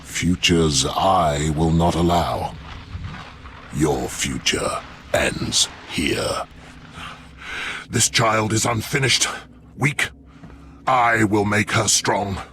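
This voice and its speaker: An actor playing a menacing, commanding dark lord in a video game, with slow and threatening delivery. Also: male voice, deep voice, slight background noise, full character voice. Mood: cold menace. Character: menacing dark lord speaking of his unfinished child. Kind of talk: dramatic video game acting.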